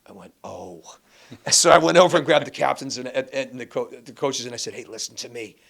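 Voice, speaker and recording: A man from Massachusetts, a guest and former school athletics director, telling animated stories in a casual chat with many restarts. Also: medium pitch, fairly quick, creaky.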